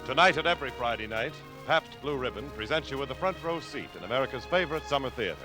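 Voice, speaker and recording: A man's voice: mid-range voice, lively intonation, quick pace, music in the background. A man announcing in 1940s radio style, reading sponsor copy with folksy, polished salesmanship.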